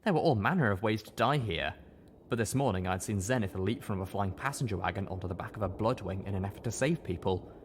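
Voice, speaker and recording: A man's voice: on the low side, quick pace, slight background noise. A man reading a story aloud as first-person narration.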